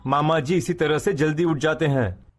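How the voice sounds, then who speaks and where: A man's voice: a little high, normal speed, faint music behind, close to an everyday voice. A man playing a patient young husband in a cartoon story, speaking in a placating, matter-of-fact manner.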